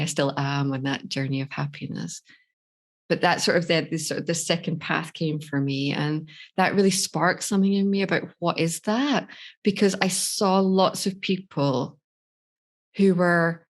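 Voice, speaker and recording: A middle-aged Scottish woman, a life coach, telling her personal story in a candid, reflective way on a podcast interview. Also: low-pitched. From Scotland. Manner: reflective, candid, laughs easily.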